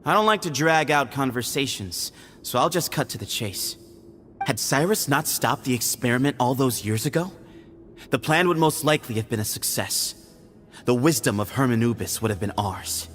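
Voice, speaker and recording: A man playing a shrewd, self-assured young heir to a temple leader, speaking in a measured, confident way. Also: mid-range voice, fairly bright tone, natural voice.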